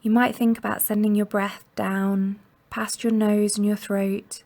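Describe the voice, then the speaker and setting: A woman leads a guided mindfulness meditation in a soothing, gentle way. Her mid-range voice often settles into a creaky fry. She gives each instruction without hurry and leaves generous pauses. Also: mid-range voice, level tone. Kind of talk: guided body scan meditation narration.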